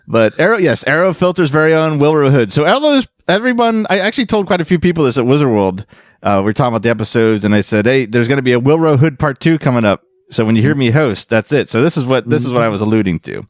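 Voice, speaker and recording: A man hosting a casual panel podcast, telling fan lore in a jokey, animated storytelling way. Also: medium pitch, quick pace, no echo, clean studio sound. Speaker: podcast host.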